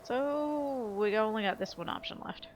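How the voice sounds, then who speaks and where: A woman's voice: a little high, normal speed. A woman giving casual playthrough commentary on a game, thinking aloud in a hesitant way. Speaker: gaming video host. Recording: faint game ambience noise.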